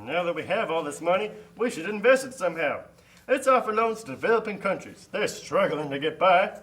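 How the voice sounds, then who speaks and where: A man's voice: high voice, expressive, fairly quick, fairly bright tone. A man playing a smug, pushy, upbeat salesman type in a scripted puppet show. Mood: scheming, eager.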